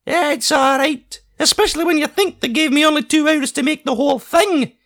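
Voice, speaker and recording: A shrill Scottish painter. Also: male voice, brisk, a bit bright. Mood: cross. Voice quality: unusually high-pitched. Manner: theatrical, grumbling, pleading. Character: high-voiced Scottish male painter, eccentric and touchy. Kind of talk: audiobook character reading.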